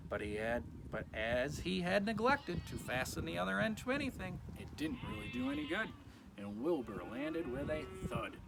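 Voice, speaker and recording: A man narrating a children's book from a script in an expressive storytelling manner. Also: fairly high pitch, moderate pace, music in the background. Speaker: story reader. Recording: clearly noisy, farm animal sounds behind.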